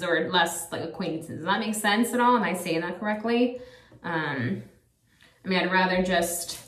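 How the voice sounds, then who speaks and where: A woman in her thirties talking casually to her viewers in a rambling, chatty way, full of fillers. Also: fairly low pitch. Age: 30s. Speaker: vlogger. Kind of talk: casual chat to camera. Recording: echoey room, a bit far from the mic.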